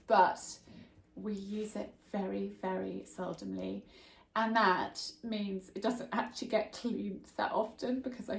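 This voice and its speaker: A woman's voice: medium pitch, vocal fry, echoey room. A woman in her thirties, congested and bunged up, chatting casually to camera in her home kitchen as she shows a cleaning tip. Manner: chatty, homely, friendly asides. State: congested, bunged up from hay fever. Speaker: home tips vlogger. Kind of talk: casual how-to chat to camera.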